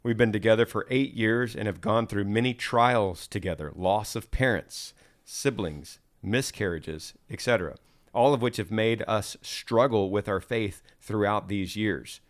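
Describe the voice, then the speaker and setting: Male podcast host, fairly low voice, relaxed conversational delivery. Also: measured pace. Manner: relaxed, with soft filler sounds and restarts. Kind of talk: conversational podcast, reading listener questions.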